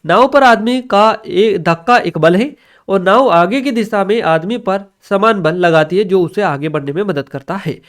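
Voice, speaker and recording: High-pitched man teaching exam questions. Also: unhurried, a bit bright. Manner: instructional, often repeats set phrases and checks understanding. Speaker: exam-prep teacher and channel host. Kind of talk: explanatory exam question review for an online lesson.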